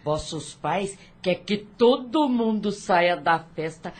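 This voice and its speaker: Actress as slow-talking jolly servant cook. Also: female voice, lowish voice, lively intonation. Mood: jolly, affectionate. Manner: folksy, rustic grammar. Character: jolly, doting household cook and servant woman. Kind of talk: audio novel acting.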